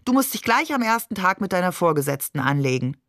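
Woman acting a sharp-tongued, exasperated mother. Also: on the low side, very animated, quick pace, no room sound, studio quality. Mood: reproachful.

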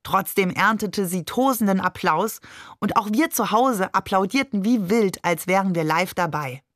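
A woman's voice: mid-range voice, moderate pace, a bit bright, dry recording, studio quality. A woman reading a literary story as scripted audiobook narration.

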